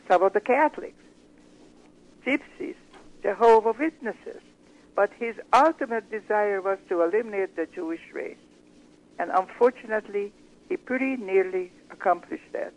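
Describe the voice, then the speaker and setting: An older woman from Hungary, a guest giving her personal testimony slowly, with measured, searching pauses. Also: mid-range voice, darkish tone, poor audio.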